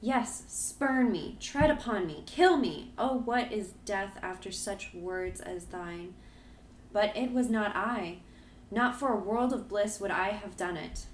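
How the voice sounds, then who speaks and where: A man putting on a gentle sorrowful young woman. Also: high voice, takes it slowly, echoey room, a little hiss or hum, voice pushed up, low-quality recording. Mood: anguished. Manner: pleading, soft and tremulous. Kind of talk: audiobook reading.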